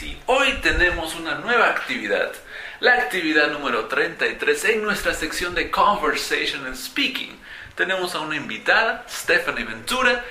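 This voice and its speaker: Bright-voiced male teacher in an echoey room. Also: fairly high pitch. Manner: clear, teacherly, explains terms. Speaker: online English teacher and host. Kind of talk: bilingual lesson intro and interview. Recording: echoey room, faint noise.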